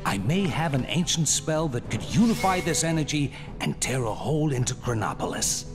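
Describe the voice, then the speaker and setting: Learned wizard man actor, formal, mid voice with expressive swings, loud music, game cutscene. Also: medium pitch, moderate pace, lightly acted. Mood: thoughtful. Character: solemn, learned male sorcerer. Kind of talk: animated game cutscene acting.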